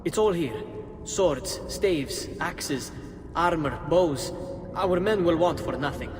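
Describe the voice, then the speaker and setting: An eager henchman in a video game conspiracy. His rather high voice is slow and emphatic, with long reverb around it. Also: animated, slight background noise. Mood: satisfied. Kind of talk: video game cutscene acting.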